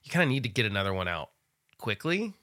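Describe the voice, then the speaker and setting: A man hosting a casual music podcast, rambling and restarting as he tells animated stories. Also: fairly low pitch, quick pace. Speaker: podcast host. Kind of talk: casual chat about music.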